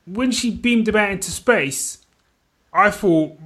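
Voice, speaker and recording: Podcast co-host: male, voice a touch high, casual slangy chat, animated storyteller. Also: slow pace. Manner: animated retelling, slangy, peppered with "you know what I mean". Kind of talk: casual chat about TV shows.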